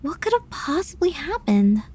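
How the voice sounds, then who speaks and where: A woman's voice: high voice, big pitch swings, normal speed, warm tone. A woman playing a worried, reflective sister thinking aloud in an audio drama monologue, musing and dramatic.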